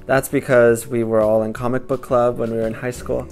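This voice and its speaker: A young man in his twenties answers documentary interview questions in a calm, measured way. His delivery stays flat and even, with faint music playing behind him. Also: medium pitch. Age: 20s.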